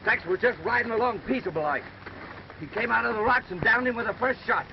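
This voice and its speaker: Eager American cowhand informant, raised gravelly voice, 1930s western, muffled old soundtrack. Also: male voice, very high voice, brisk, background noise. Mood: urgent, accusing. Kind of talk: 1930s western film acting.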